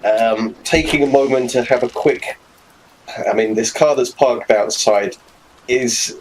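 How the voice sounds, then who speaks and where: A man plays a tabletop role-playing game. He talks casually and at length, thinking aloud at a middling pitch. Clear noise sits behind his voice. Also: unhurried, poor audio. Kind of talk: casual improvised play description. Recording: clear background noise, room ambience.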